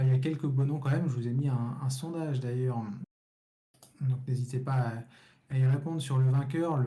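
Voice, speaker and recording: A man's voice: mid-range voice, dark tone. A man co-hosting a cycling podcast, giving frank opinions in a casual, repetitive way. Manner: opinionated, frank, repeats words as he goes.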